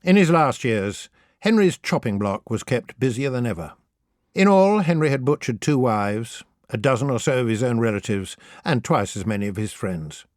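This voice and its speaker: Expressive man narrating, dry close sound. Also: medium pitch, normal speed, no room sound. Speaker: audiobook narrator. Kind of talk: scripted narration of humorous children's history.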